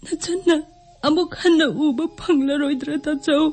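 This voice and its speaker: A woman's voice: sits high, steady tone, rapid-fire, darkish tone. A woman acting in a radio drama as an anguished wife longing for her missing husband, emotional and distressed. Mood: sorrowful, longing. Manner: emotional, tearful delivery in distress.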